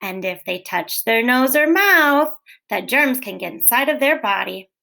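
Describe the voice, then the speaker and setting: A woman teacher reading a children's book aloud in a gentle, clear, expressive way, posing questions to young listeners. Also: on the high side, a little slow. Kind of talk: read-aloud storytelling for children.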